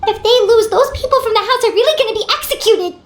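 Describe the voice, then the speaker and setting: A woman voicing a tiny, chatty, excitable companion creature. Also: quick pace, roomy sound, slight background noise. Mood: worried. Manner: chatty, high-pitched, excitable. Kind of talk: game cutscene voice acting.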